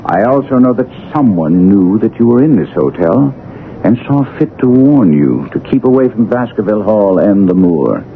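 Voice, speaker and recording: A shrewd gentleman detective of radio drama, very low in pitch yet lively in his swings. He is formal, precise and used to command, on a narrow, tinny recording. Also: moderate pace. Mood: grave, insistent. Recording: tinny old radio recording, faint noise.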